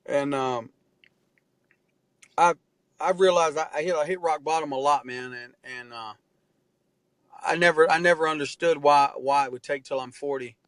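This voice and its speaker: A heartfelt man of about forty speaks in an earnest, conversational way, his voice a touch higher than most men's. The sound is dry and close, with almost no room around it. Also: normal speed, long pauses, a bit bright, dry recording, low-quality recording. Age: 40s. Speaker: interview guest, artist and tattoo artist. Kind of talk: candid conversational interview.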